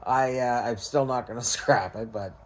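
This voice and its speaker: A man's voice: mid-range voice, steady tone, moderate pace, a little hiss or hum. A Canadian man talking in a casual, unscripted vlog style, rambling and hesitant in a self-effacing way. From Canada, small-town Ontario. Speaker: scrap recycling vlogger.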